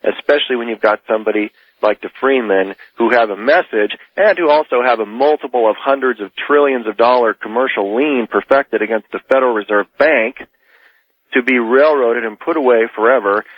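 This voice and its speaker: A man's voice: mid-range voice, brisk, dry recording. Insistent man on a dull radio call. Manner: insistent, argumentative. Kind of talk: heated political debate.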